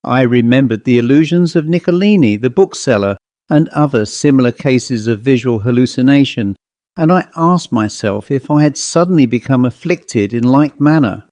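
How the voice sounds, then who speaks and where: Man, audiobook narrator, mid-pitched voice, measured dramatic storytelling, literary ghost tale, dry studio-like sound. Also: lively intonation, a little mellow, no echo.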